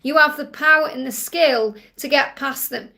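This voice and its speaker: A middle-aged woman hosting a live psychic Q&A, chatty and reassuring, whose voice is getting croaky. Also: sits high, moderate pace, pushed and bright, a little hiss or hum. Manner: chatty, reassuring, peppered with "okay?" and "you know". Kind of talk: live-stream spiritual reading.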